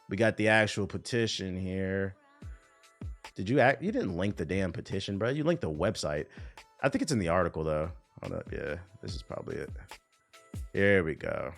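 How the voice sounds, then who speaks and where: A man's voice: on the low side, gravelly, fairly bright tone. A young man livestreaming, joking loosely through reaction commentary and muttering asides under his breath. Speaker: streamer. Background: faint background music.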